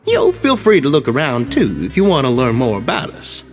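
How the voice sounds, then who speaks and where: An actor playing an earnest, courteous club founder in a video game, who speaks in a deferential, upbeat and slightly flowery way. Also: male voice, medium pitch, wildly swooping pitch, normal speed, soft background music. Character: earnest middle-aged male club founder, courteous and idealistic.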